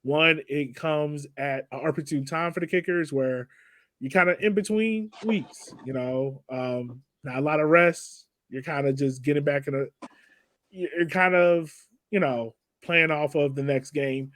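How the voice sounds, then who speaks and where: A man with a slightly high voice hosts a casual sports chat. He talks loosely and informally, with everyday slang. The sound is very dry, with little room in it. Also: a little slow, fairly bright tone, no room sound. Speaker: podcast host.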